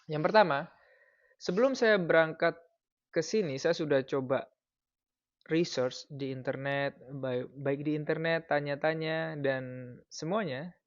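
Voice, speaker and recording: A man in his thirties from Indonesia, giving casual advice in a vlog in a relaxed, explanatory way with frequent fillers. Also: a little high, measured pace, no room sound, highs cut off. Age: 30s. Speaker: vlogger.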